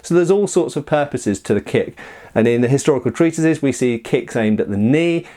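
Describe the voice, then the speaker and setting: Guy doing chatty review commentary, explains stuff in detail, opinionated, mid pitched voice. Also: animated, a little fast, mellow. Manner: explanatory, opinionated, emphatic asides. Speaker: host, martial arts commentator.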